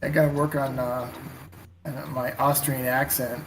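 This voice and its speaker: A man talks loosely while painting, circling back through fillers and restarts. His voice sits at an ordinary middle pitch. A faint hum runs under the recording. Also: flat delivery, unhurried, poor audio. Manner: rambling, hesitant with many fillers. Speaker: wargaming hobbyist. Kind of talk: casual chat while painting.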